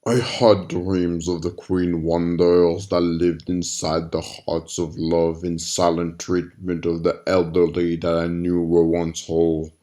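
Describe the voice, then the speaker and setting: A man voicing a monstrous creature that recites cryptic riddles in a droning monotone for a horror audio log. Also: sits low, slow, hardly any pauses, pitched below the performer's own voice. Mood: ominous, detached.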